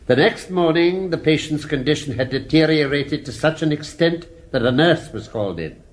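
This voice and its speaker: An actor playing a formal, deliberate British judge addressing a jury in a radio drama. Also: male voice, fairly high pitch, animated, close to an everyday voice, low-quality recording. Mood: grave, measured. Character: formal, measured male judge summing up a murder case.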